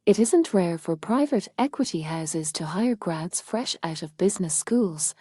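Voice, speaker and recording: A woman with a low, mellow, slightly husky voice reads a practice passage aloud. Her delivery is measured and the recording sounds dry. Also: expressive, no echo. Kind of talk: scripted read-aloud.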